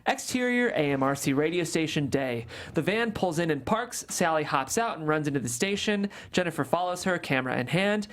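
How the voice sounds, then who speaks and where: A man's voice: fairly high pitch, expressive, fairly quick, hardly any pauses, a bit bright. Man reading stage directions plainly.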